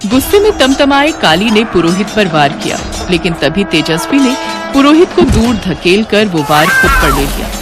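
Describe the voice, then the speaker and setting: A woman's voice: mid-range voice, big pitch swings, normal speed, music in the background. A woman narrating a scripted fantasy story in a dramatic style.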